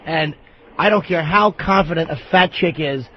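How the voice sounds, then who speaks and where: Man, high-pitched, blunt, matter-of-fact, casual radio talk, slightly dull sound. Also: normal speed.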